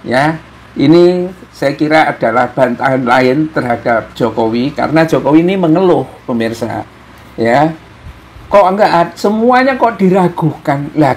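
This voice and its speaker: A man giving informal, mocking political commentary to viewers, full of rhetorical questions and tag questions. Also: fairly high pitch. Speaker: commentator hosting an opinion show.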